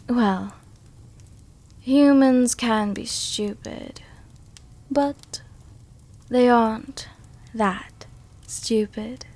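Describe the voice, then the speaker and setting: Woman acting a slow, seductive vampire countess. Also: on the high side, a little mellow, dry recording, full character voice. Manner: slow, intimate, formal diction with breathy whispers. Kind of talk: soft-dom roleplay ASMR.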